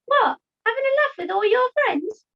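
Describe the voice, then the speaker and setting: A woman's voice: extremely high pitch, sing-song, moderate pace, exaggerated voice. A young woman voicing a playful, cheeky, carefree puppet in an amateur play on a video call. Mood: cheeky, breezy. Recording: muffled video call audio.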